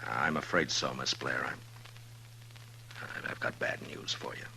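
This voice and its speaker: A man's voice: a bass voice, measured pace, vocal fry, sharp tone, heavily acted. An actor playing a weary, stern American lawman in a 1950s radio western, speaking in terse, grave lines. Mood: subdued, grave. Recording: noisy 1950s radio recording.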